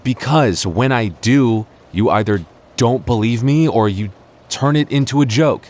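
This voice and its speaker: A man's voice: mid-range voice, a little slow, a little mellow, natural voice. A man playing a defensive, teasing young childhood friend with a secret crush, stammering and bickering in an intimate boyfriend roleplay. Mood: flustered, earnest. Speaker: ASMR roleplay voice actor.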